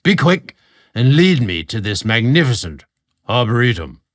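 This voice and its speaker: A man's voice: mid-range voice, sing-song, moderate pace, lightly acted. A man voicing a rotund, pompous, belligerent British baron in a storybook narration, barking imperiously.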